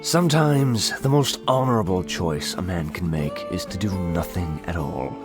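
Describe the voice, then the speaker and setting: An actor playing a cynical, indolent manor lord with a sardonic, pompous, lecturing manner in a fantasy audiobook. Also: male voice, deep voice, normal speed, lightly acted. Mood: curt. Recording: music behind the voice.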